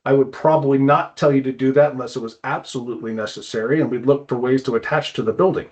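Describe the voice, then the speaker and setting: A man giving a conversational expert explanation as an interview guest, informal and halting, often repeating words. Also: medium pitch, steady tone, a little mellow. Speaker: guest climbing wall consultant. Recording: dull, lo-fi audio.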